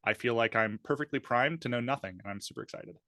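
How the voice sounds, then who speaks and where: A man's voice: mid-range voice, fast talker, sharp tone, no echo, clean studio sound. A man, a podcaster and player, introducing himself casually on an online group call.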